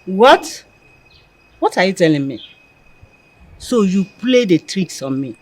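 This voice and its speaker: A woman acting in a film drama as a protective, excitable middle-aged Nigerian mother, full of exclamations. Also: mid-range voice, slow, dry recording. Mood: indignant, scolding.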